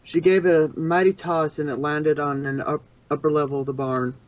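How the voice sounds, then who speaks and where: A man's voice: a little high, little pitch movement, moderate pace, poor audio. Man narrating fan fiction, stumbling now and then. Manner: stumbles and rereads phrases. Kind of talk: amateur read-aloud fan fiction narration.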